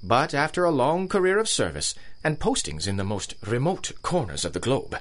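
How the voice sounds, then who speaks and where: Colonel villain, radio drama: genteel menace, pompous delivery, hugely animated intonation. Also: male voice, mid-range voice, moderate pace. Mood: grandiose menace. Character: pompous British colonel, big-game hunter villain, coldly courteous.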